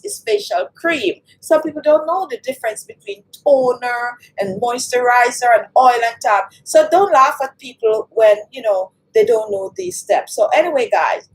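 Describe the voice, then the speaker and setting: A woman's voice: a little high, husky, low-quality recording. A woman from Jamaica, hosting a live beauty stream and chatting with viewers as she reads their comments. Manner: chatty, talks straight to the chat, reads viewer comments aloud. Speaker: beauty channel host.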